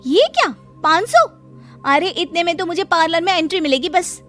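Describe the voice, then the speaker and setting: Woman doing a high-pitched spoiled, nagging sister. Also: expressive, normal speed, a bit bright, faint music behind. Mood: petulant. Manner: petulant, nagging. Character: demanding, spoiled, whining young sister. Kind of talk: cartoon story character voice.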